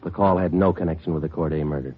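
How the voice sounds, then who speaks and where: Deep deadpan American police detective. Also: male voice, quick pace, slightly rough. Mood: matter-of-fact. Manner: deadpan, clipped, matter-of-fact. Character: terse, dogged male police detective sergeant. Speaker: detective and narrator. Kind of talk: 1950s radio police drama acting, clipped narration. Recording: muffled vintage radio recording, music behind.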